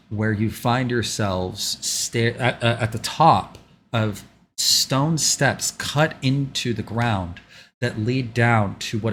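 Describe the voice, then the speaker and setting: A man's voice: fairly low pitch, fairly bright tone, a little hiss or hum. A man narrating a tabletop game as game master in a loose, informal, improvised way.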